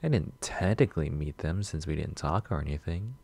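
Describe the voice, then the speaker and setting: A man playing a shy, sweet, nervous coffee shop regular in a soft-spoken ASMR roleplay, gentle, hesitant and rambling. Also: rumbling low, expressive, brisk, gravelly, full character voice. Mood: calm, musing. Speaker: roleplay audio performer.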